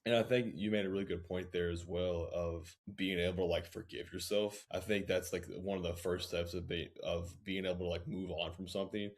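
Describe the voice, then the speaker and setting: A man's voice: sits low, fairly even intonation, fairly quick, hardly any pauses. A man hosting a podcast, chatting in a casual, reflective way and giving informal advice with some swearing. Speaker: podcast host.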